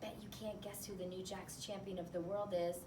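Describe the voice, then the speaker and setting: A hushed, mocking schoolkid from a storybook, put on by a woman, teasing in sing-song. The sound is distant and echoey, with noise behind it. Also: mid-range voice, flat delivery, brisk, close to an everyday voice. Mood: sly. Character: taunting schoolchildren whispering and chanting mockery. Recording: roomy, distant mic, noisy.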